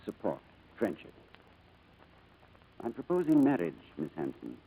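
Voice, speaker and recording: An actor playing a reserved, courteous gentleman with a hesitant, formal manner in a 1940s radio drama. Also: on the low side, wildly swooping pitch, unhurried, gravelly, phone-quality sound, exaggerated voice. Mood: earnest, hesitant. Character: reserved, courteous wealthy English businessman, haunted by lost past. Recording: noisy vintage radio broadcast.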